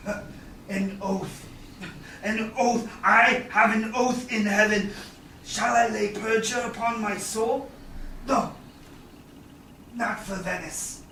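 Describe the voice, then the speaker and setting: A man's voice: high-pitched, steady tone, pushed and bright, full character voice. A middle-aged man playing a vengeful, stubborn moneylender in a theatrical staged Shakespeare reading, speaking emphatically. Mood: gleeful, obstinate. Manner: deliberate, exclamatory, emphatic. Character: vengeful, stubborn male moneylender demanding his bond. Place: small theatre stage, indoors. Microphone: far audience camera. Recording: echoey stage, distant camera, faint noise.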